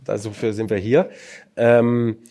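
A man's voice: fairly low pitch, flat delivery, slow, dark tone. A man moderating an interview in a conversational way, hesitant and searching for his words.

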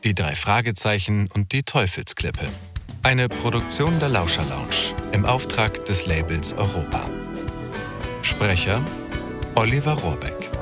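A male announcer reads closing credits in an even, formal way. His voice sits on the low side. Loud guitar music plays under him throughout.

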